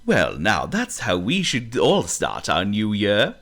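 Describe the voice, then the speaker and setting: A man voicing a good-natured, jolly male party host who explains things gently in a storybook. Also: fairly low pitch, huge pitch swings, slow pace, exaggerated voice. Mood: genial. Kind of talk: storybook voice acting.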